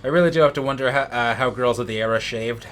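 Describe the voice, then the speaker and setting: A man's voice: mid-range voice, quick pace, a bit bright. A man from the United States gives casual let's play commentary as a co-host. He rambles and circles back over his phrases in a mid-range voice, with light game music underneath. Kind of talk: casual gaming chat and commentary.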